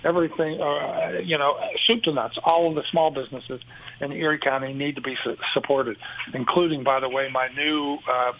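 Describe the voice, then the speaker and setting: A man's voice: on the high side, steady tone, moderate pace, gravelly, a bit bright, slight background noise, rough recording. Rambling man on a talk panel. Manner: rambling, many fillers. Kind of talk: talk show remarks.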